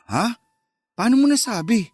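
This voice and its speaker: A man's voice: extremely high pitch, sing-song, a little slow, a little mellow, husky, full character voice. A man acting a cocky, teasing and easily wounded secret lover, with a Filipino accent, in a radio love drama. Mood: questioning.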